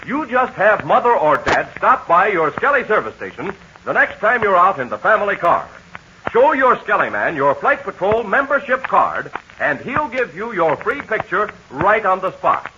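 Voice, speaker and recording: A man's voice: very animated, moderate pace, noisy background. Peppy 1940s radio ad announcer, man. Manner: peppy, folksy pitch to kids. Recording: muffled 1940s radio transcription.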